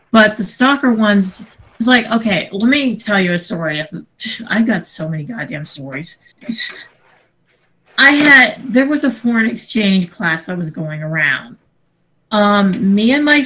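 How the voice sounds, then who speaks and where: A woman chatting casually and telling stories in a sweary, animated way, acting out what people said. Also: mid-range voice, poor audio. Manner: sweary, animated retelling with acted-out dialogue. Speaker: podcast co-host. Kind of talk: casual storytelling chat.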